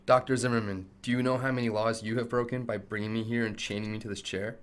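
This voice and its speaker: A man's voice: fairly low pitch, level tone, fast, natural voice. A man playing a principled, defiant tech company chief held captive in a radio play, arguing firmly and articulately. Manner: firm, argumentative, articulate.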